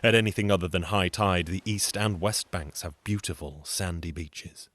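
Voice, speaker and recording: A man's voice: sits low, normal speed, a bit bright, no room sound. A man telling a comic folk tale in a theatrical, conversational way, with wry, sardonic asides. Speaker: storyteller, solo show performer.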